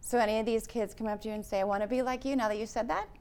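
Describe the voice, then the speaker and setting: A woman's voice: a little high, fairly even intonation, very fast, darkish tone. A woman hosting an interview, asking reflective, chatty questions. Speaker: interview host. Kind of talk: casual interview conversation.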